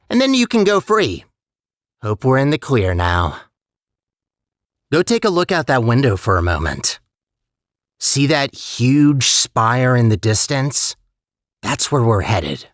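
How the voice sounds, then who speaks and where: A man's voice: medium pitch, a bit bright, no echo, clean studio sound. A man playing a chatty, sardonic and untrustworthy AI guide in a video game, with wry, hesitant conversational asides. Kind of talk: video game character voice lines.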